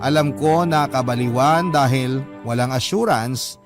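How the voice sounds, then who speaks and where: A man's voice: medium pitch, a little slow, lightly acted. Man acting as regretful Filipino confessor over music. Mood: regretful, resigned. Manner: confessional, earnest. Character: regretful male letter writer confessing his affairs. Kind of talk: scripted first-person radio drama narration. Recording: prominent music behind the narration.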